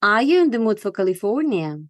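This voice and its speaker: A woman's voice: mid-range voice, sing-song, brisk, no room sound, clean studio sound. A woman hosting a podcast, reading scripted narration. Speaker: podcast host.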